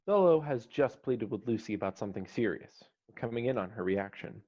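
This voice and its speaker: Male narrator for stage directions, scripted read, medium pitch, somewhat dull recording. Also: lively intonation, fairly quick, darkish tone. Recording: slightly dull online call audio.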